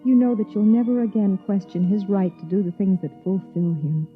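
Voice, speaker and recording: Actress as vulnerable young wife, intimate and emotional vintage radio drama delivery, thin phone-like recording. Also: female voice, mid-range voice, natural voice. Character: frightened, remorseful young wife narrating her own ordeal. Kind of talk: 1950s radio drama acting. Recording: tinny 1950s radio recording, music behind.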